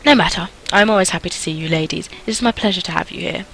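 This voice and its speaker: Young aristocrat, voiced by a woman: polished, courteous, mock-apologetic, slightly lowered voice, visual novel romance. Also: racing, fairly bright tone, slight background noise. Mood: polite sarcasm. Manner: smooth, formal, mock-apologetic. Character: suave, courteous young male French nobleman, slyly sarcastic.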